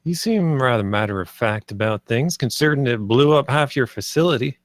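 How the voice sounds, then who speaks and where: A man's voice: medium pitch, expressive, a little fast, no room sound, clean studio sound, lightly acted. A man reading the part of a pragmatic, wary space salvage crewman at a screenplay table read. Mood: dry, skeptical.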